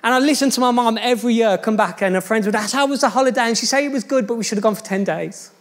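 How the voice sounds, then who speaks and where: High-voiced pastor, joking sermon. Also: male voice, racing. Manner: chatty, jokey storytelling, addressing the church directly.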